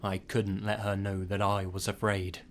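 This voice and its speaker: Low-voiced male horror narrator. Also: completely flat delivery, moderate pace, slight background noise. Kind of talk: scripted first-person horror narration. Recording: music behind the voice. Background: background music.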